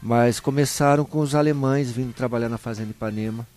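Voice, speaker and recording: A man with a São Paulo countryside Brazilian accent, telling stories in a colloquial, animated way as a guest in a live interview. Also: mid-range voice, fairly quick. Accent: Brazilian Portuguese, São Paulo interior. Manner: animated storyteller, acts out short dialogues, colloquial. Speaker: interview guest, local historian.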